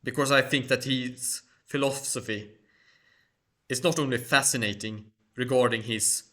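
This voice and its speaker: Channel host, male, Swedish first language; formal, careful delivery, forceful bright timbre, mid pitch. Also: fairly even intonation. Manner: measured, formal phrasing. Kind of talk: solo Q&A monologue.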